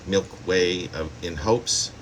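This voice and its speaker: A man with a very deep voice reads his own poetry aloud. It is a poet's recitation, recorded plainly with a faint hum of air conditioning behind the words. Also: slow pace, a bit bright, rough recording. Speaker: poet. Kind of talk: poetry reading of own work.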